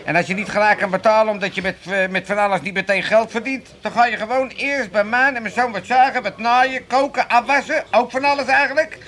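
A man's voice: high-pitched, steady tone, brisk, hardly any pauses, a bit bright. An actor playing a scheming older father in a comic radio play, stammering and wheedling as he repeats himself. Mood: eager, coaxing. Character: scheming, coaxing old father with a room to let.

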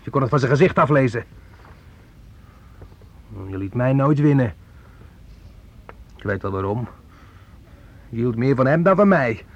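A fugitive criminal son for a radio play. His voice is husky and mid-pitched, brooding and needling, with a needy, wounded resentment. The old recording sounds muffled. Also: expressive, measured pace, long pauses. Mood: resentful, sulky. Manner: brooding, needling, childishly aggrieved. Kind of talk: Dutch radio play acting.

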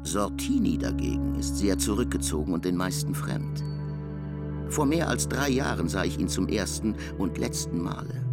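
A man narrating a literary German radio drama in a gravelly voice, voicing the dialogue as he reads. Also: sits low, exaggerated voice. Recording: prominent music under the voice. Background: music.

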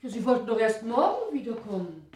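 An actress playing a concerned, deferential housekeeper in a German radio drama, recorded at a distance with echo and some noise. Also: female voice, on the high side, big pitch swings, a little fast, darkish tone. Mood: surprised, worried. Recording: distant, echoey, slightly noisy radio recording.

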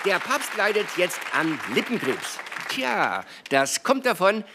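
A man's voice: sits high, big pitch swings, unhurried, heavily acted. An actor in a radio drama playing a glib, needling male TV talk show host with a showy, teasing delivery. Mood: gleeful, showy. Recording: loud show music, crowd noise.

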